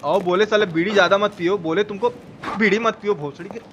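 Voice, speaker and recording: High-voiced excitable Bihari man streaming. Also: fast talker, highs cut off. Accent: Bihari Hindi. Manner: excitable, slangy, repeats himself. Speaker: game streamer. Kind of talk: live gameplay commentary. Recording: clear game noise behind voice. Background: horror game sound effects and ambience.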